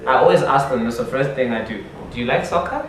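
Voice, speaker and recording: A man's voice: medium pitch, lively intonation, fast, a little hiss or hum. A man, a musician guest from Zimbabwe, chatting animatedly in an interview, repeating words and laughing often. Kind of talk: casual interview chat. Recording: echoey room, distant mic.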